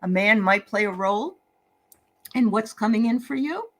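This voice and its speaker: A woman's voice: medium pitch, expressive, normal speed. A woman from the Boston area with a Boston accent, hosting a casual livestream tarot reading in a chatty, upbeat way. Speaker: tarot reader and livestream host.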